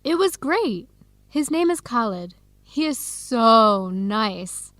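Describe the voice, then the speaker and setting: Upbeat young American woman, higher-pitched. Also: very animated, slow pace, a bit bright, no echo, heavily acted. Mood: excited, smitten. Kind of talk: scripted radio drama dialogue for English learners.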